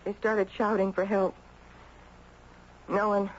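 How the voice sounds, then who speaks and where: An actress playing a gracious, melancholy mother, speaking in a hesitant, measured and dramatic way in a 1970s radio drama. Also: mid-range voice, little pitch movement, lightly acted. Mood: grave, haunted. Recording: dull noisy 1970s radio broadcast.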